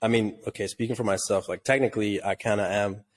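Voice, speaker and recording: Flat-toned laid-back man. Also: on the low side, fast talker. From Tanzania. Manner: relaxed and rambling, heavy use of "like". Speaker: podcast co-host. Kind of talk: casual podcast chat.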